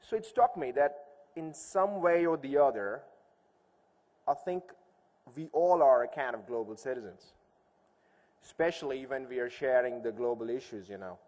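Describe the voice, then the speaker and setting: A man's voice: mid-range voice, moderate pace, long pauses, a little mellow. Man giving a talk in an echoey hall. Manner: conversational, uses rhetorical questions. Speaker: conference speaker. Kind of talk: informal public speech with storytelling. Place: large hall, speaking to an audience. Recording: echoey hall with long reverb.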